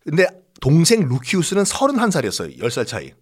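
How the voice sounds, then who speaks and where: A man's voice: fairly high pitch, wildly swooping pitch, fast, slightly rough. A man telling history as a host in a conversational, dramatic and jokey style with emphatic repetition. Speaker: history storyteller and podcast host. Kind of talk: animated conversational history storytelling.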